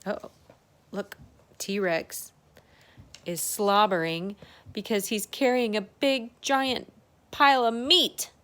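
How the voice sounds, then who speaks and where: A woman's voice: medium pitch, fairly even intonation, slow pace, pauses often, a bit bright. A woman reading a children's storybook aloud as a circle-time teacher, adding chatty asides, exclamations and questions for young listeners.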